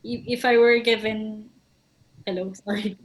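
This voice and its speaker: A woman's voice: a little high, steady tone, unhurried, low-quality recording. A woman, a songwriter in a band, answering interview questions casually and mixing English with Tagalog while she thinks aloud.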